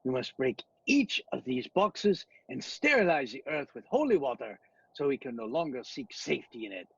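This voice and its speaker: An actor playing an urgent, commanding professor who hunts vampires, in a dramatic radio-play style with a husky voice. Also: male voice, medium pitch, sing-song, moderate pace, dry recording, lo-fi sound, heavily acted. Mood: urgent resolve.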